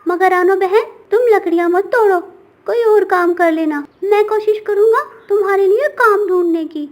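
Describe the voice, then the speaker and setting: Urgent, high-voiced parrot friend played by a woman. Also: normal speed. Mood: pleading, helpful. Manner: urgent, pleading. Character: kindly, alarmed male parrot friend.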